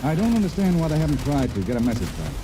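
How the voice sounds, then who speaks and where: An actor playing a concerned, informal commanding officer in a 1950s science fiction radio drama, talking a little hesitantly. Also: male voice, on the high side, very animated, rapid-fire, noisy background. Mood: uneasy, kindly. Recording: old-time radio broadcast with background sound.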